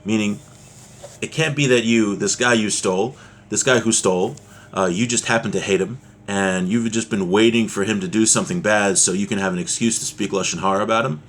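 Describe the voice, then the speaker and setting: Male religious teacher, fairly deep voice, explaining in plain informal terms, slight noise behind him. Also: a little fast. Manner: explanatory, with everyday examples. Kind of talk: informal religious text lesson, reading and explaining.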